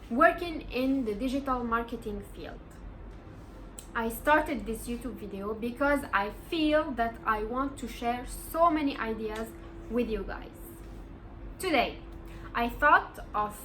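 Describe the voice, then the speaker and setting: Moroccan-born woman in her 20s doing a chill advice vlog, talks real slow, kinda earnest. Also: fairly high pitch, bright, forceful tone, slight background noise, poor audio. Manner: earnest, encouraging, emphatic repeats. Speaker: vlogger. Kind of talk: casual motivational vlog.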